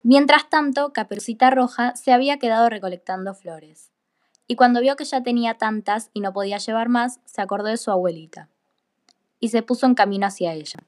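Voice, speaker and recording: A woman's voice: on the high side, fairly quick, darkish tone. A woman reading scripted fairy tale narration for a radio play.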